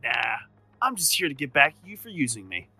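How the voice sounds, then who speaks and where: Man acting a brash, creaky, hot-headed villain. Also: medium pitch, sing-song, fairly quick, bright, forceful tone, faint music behind, exaggerated voice. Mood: combative. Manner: brash, combative. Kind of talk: audio drama acting.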